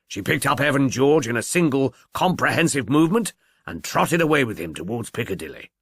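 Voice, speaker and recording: Man reading as growling man, disdainful, sniffy, swooping low at phrase ends, audiobook character. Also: sing-song, fairly quick, husky, no room sound, clean studio sound.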